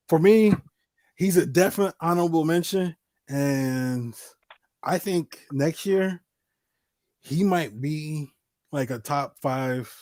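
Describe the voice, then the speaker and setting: A man's voice: on the high side, darkish tone, dry recording. A man in his forties hosting a casual talk show, speaking emphatically and repeating himself to drive points home. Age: 40s. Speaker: host.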